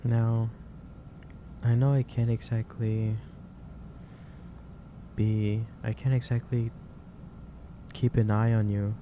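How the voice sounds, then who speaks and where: A man playing a gentle, concerned office boss in a soft-spoken ASMR roleplay, talking in a hesitant, halting and careful way. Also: mid-range voice, little pitch movement, lightly acted. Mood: earnest, kindly chiding. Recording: clearly noisy ambient background.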